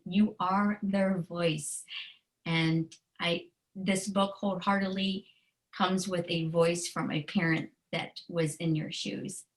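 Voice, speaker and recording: A woman who is a children's author, reading aloud and explaining in a deliberate, earnest way with simple phrasing. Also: on the low side, rough recording. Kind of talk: storybook read-aloud and explanation.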